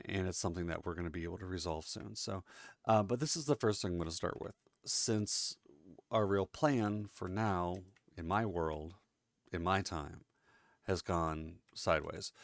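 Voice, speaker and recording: A man's voice: low voice, gravelly, dry recording, studio quality. A congested, sniffly man hosting a casual gameplay commentary, chatty and joking as he rambles along. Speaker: let's-play host.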